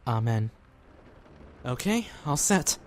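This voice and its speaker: A man's voice: medium pitch, big pitch swings, slow pace. A man acting as an earnest, devout young man in a fan audio drama, speaking politely and sincerely, a little hesitant. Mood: prayerful, sincere. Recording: clearly noisy background ambience.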